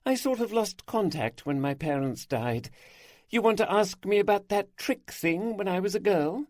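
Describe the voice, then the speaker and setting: Guy doing posh home counties lady, polite, lively voice. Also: high voice, normal speed, dry recording, studio quality, voice pushed up. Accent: English Home Counties. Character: stylish woman in her mid-forties, a designer.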